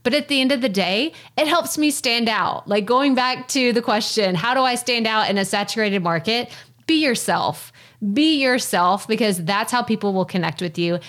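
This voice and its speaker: A woman with a Texas accent, a guest on a podcast, talking loudly and with enthusiasm in a casual chat. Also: on the high side, normal speed. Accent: Texan. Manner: loud, enthusiastic, folksy.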